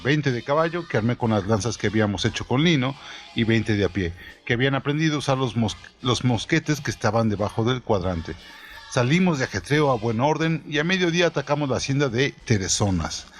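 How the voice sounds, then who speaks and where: A man reading a first-person story aloud in a steady storytelling manner, his voice falling low at the ends of phrases. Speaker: narrator. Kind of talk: scripted audiobook narration.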